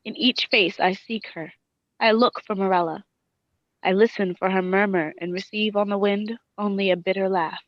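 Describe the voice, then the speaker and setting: A woman's voice: mid-range voice, steady tone, normal speed, dry recording, highs cut off, natural voice. A woman playing a grief-stricken wife in a radio play, speaking in an anguished, poetic, theatrical way. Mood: mournful. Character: grief-stricken wife haunted by her lost spouse. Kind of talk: dramatic radio play monologue.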